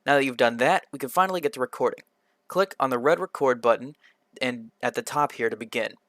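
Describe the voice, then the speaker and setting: A man presenting a scripted software tutorial in an instructional, step-by-step manner, occasionally stumbling over a word. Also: mid-range voice, fast talker, no echo, studio quality. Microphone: computer microphone in a quiet home room, voice-over.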